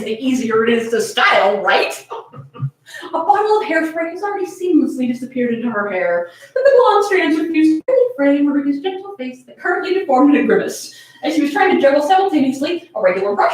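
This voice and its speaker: A woman giving a comedic dramatic reading of fan fiction, giggly and laughing through her words. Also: high-pitched. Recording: echoey room, distant microphone.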